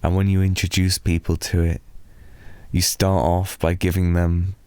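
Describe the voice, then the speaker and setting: A British man softly rambling in an improvised ASMR boyfriend roleplay, telling a drowsy, meandering story to lull a listener to sleep. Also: low voice, little pitch movement, smooth, no echo. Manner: soft, slow, drowsy storytelling.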